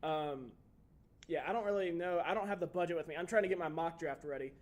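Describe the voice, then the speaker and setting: A man in his twenties co-hosting a sports podcast, relaxed and chatty, asking his partner questions. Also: fairly high pitch, fast talker. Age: 20s. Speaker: podcast co-host. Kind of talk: casual sports chat.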